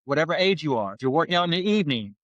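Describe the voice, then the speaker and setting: A man's voice: a little high, very animated, racing, fairly bright tone, no room sound, dull audio. A man in his forties co-hosting a casual motivational chat, emphatic and repetitive with stammered restarts. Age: 40s. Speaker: podcast co-host.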